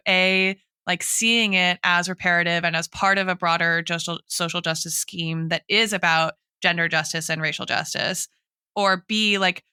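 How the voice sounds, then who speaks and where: Bright, crisp-voiced woman podcast co-host. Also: on the low side, dry recording, studio quality. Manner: intellectual, often checks in with "right?". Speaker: podcast co-host and academic in political theory. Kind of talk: analytical conversational discussion.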